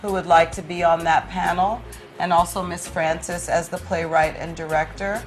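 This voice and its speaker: A woman's voice: lowish voice, steady tone, moderate pace, faint music behind, low-quality recording. A woman, a teacher, talking casually as a guest in an interview. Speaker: teacher, talk show guest.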